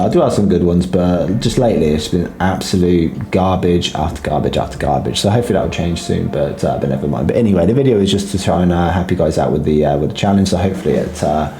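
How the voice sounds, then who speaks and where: A deep-voiced man talks through a mobile game in a relaxed, halting way, his throat a little sore. His voice is dark and mellow, and the room gives it a noticeable echo. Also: slight background noise. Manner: hesitant, filler-heavy, low-key. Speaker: gaming guide creator. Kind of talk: casual game commentary. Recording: roomy echo.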